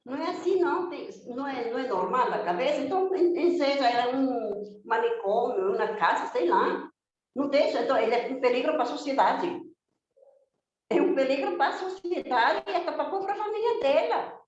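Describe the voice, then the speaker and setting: A woman's voice: high-pitched, expressive, a little fast. A woman who learned Portuguese as a second language, speaking with emphatic indignation and piling up rhetorical questions and lists. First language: not Portuguese, learned it on her own. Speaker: guest speaking for domestic workers. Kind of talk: impassioned spoken testimony. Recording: very echoey, dull lo-fi remote audio with faint noise.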